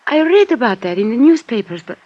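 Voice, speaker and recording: Husky, pleading mystery woman. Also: on the high side, very animated, fairly quick, mellow. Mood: pleading, earnest. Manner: hesitant, protesting, melodramatic. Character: earnest, pleading European woman of mystery under suspicion. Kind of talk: old-time radio drama acting. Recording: dull, band-limited old radio recording.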